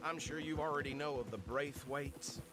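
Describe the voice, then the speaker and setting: An actor playing an anxious, bossy and loyal sheriff's deputy in a video game, with loud music over him. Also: male voice, on the high side, normal speed, noisy background, close to an everyday voice. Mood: calm, chatty. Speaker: deputy lawman. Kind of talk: video game cutscene acting.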